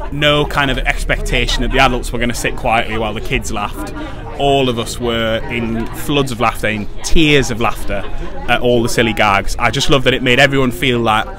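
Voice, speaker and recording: A man's voice: medium pitch, normal speed, over music. A man, a pantomime actor, chatting enthusiastically in a casual interview.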